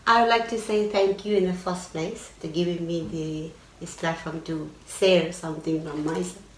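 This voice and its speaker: Guest: middle-aged Nepali-accented woman, lowish voice, hesitant, roomy distant sound. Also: steady tone. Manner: halting, searching for words. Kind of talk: casual interview. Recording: echoey room, mic at a distance.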